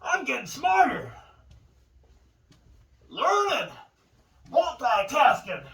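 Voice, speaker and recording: High-pitched, animated man giving a tutorial. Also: slow pace, recorded from a distance. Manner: goofy, exaggerated exclamations and asides. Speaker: instructor. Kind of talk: upbeat tutorial presenting.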